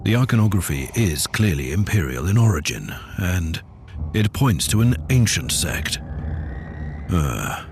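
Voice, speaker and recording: An actor playing a stern, self-assured inquisitor in a sci-fi video game, with a measured, dry and authoritative delivery. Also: male voice, low-pitched, big pitch swings, noisy background, exaggerated voice. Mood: reflective. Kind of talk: dramatic video game voice acting.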